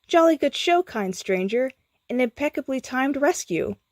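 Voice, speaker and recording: Woman putting on a genteel, jolly old-fashioned gentleman. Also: high voice, expressive, normal speed, no echo, studio quality, pitched above the performer's own voice. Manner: quaint, formal, courteous.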